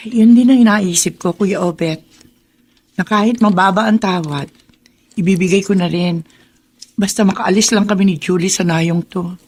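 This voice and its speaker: A woman's voice: medium pitch, moderate pace, darkish tone, lightly acted. Casting: actress as careworn Filipino village widow and mother, mid-range voice, sorrowful radio drama. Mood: weary, resigned.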